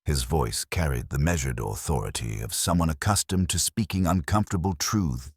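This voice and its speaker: A man reading a scripted mystery story as an audiobook narrator, in a formal, measured, dramatic storytelling manner. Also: very deep voice, animated, breathy edge, no room sound, studio quality.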